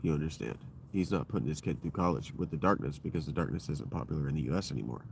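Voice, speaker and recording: A man with a deep voice hosting his own livestream, talking in a loose, digressive and profane monologue that keeps circling back on itself. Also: fast, creaky, slight background noise. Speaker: livestream host. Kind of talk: rambling solo livestream monologue.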